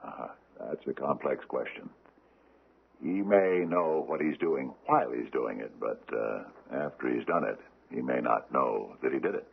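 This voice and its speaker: An actor playing an aging, pompous judge with hesitant, formal phrasing in an old-time radio drama. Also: male voice, extremely low pitch, a little slow, vocal fry, slightly rough, heavily acted. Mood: grave, measured. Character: aging pompous judge, ponderous, secretive and grumbling. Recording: muffled, band-limited vintage radio recording.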